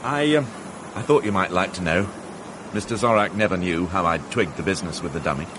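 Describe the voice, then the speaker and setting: An actor playing a polite, gently ironic police inspector in a radio drama, sometimes hesitant. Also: male voice, low voice, fairly quick, noisy background, close to an everyday voice. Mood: courteous, wry. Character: courteous, wry, genial police inspector.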